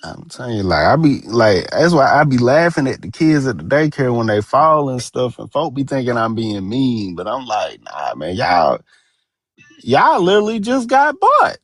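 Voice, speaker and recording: A man's voice: medium pitch, wildly swooping pitch, normal speed, dry recording. A man in his late thirties talking casually on a podcast, laid-back and slangy, telling stories with energy. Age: 30s. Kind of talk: casual chat.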